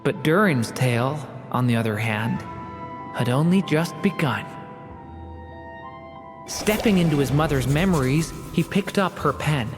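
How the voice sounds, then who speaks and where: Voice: medium pitch, lively intonation, a little slow, long pauses, music in the background, close to an everyday voice. Earnest, wistful young dragon boy, voiced by an actor. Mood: solemn storytelling. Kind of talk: dramatic video game voice acting.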